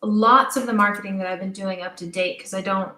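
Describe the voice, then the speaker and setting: A woman's voice: mid-range voice, steady tone, a little fast, pushed and bright. A young woman chatting casually and emphatically as a guest in a podcast interview. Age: young adult. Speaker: interview guest, audio drama creator. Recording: roomy, echoey voice.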